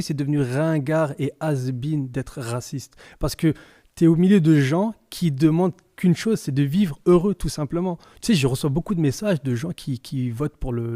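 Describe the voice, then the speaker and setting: A man's voice: fairly high pitch, dark tone, no echo, clean studio sound. A young man from France, talking casually as a podcast guest in an informal, slangy way and often repeating words while he searches for them. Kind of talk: casual interview chat.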